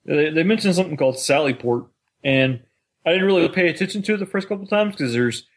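A man's voice: on the high side, lively intonation, quick pace. A man co-hosting a podcast in a casual, conversational way. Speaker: podcast co-host. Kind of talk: casual chat.

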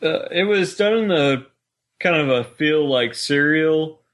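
A man's voice: on the high side, animated, a little slow, a bit bright. A man talking casually as a podcast co-host, with an informal, easygoing manner.

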